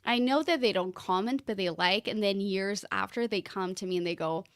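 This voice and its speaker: A woman's voice: mid-range voice, normal speed, dry recording, studio quality. Woman on a podcast. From Portugal. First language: Portuguese. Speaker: podcast guest. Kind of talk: casual podcast conversation.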